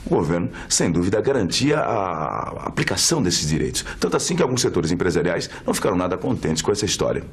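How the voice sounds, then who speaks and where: A man's voice: deep voice, big pitch swings, quick pace, few pauses, a little hiss or hum. A Brazilian man presenting an educational documentary in a conversational narrating style.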